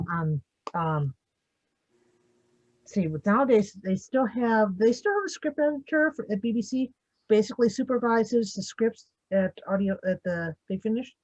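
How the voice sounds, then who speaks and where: Female moderator, slightly raspy, halting conversational delivery, muffled lo-fi recording. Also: mid-range voice, darkish tone. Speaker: panel moderator. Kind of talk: casual panel discussion.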